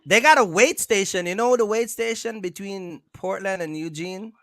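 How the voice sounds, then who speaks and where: Man, high voice, gravelly edge, teasing banter, live stream host. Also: moderate pace, a bit bright, dry recording. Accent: Jamaican-influenced. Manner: joking, teasing, talks over others. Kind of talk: casual live stream chat.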